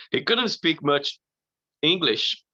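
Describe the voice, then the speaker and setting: A man from Brazil, giving a halting, earnest personal faith testimony at a conference. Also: fairly high pitch, animated, pushed and bright, no echo, dull audio. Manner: slow, halting, earnest, with frequent "you know".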